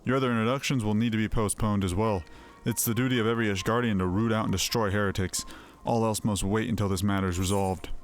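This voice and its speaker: A man voicing a formal, exacting knight officer in a fantasy game, speaking in a measured, officious way. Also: lowish voice, steady tone, lightly acted. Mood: stern. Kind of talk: voiced reading of fantasy game dialogue. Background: music.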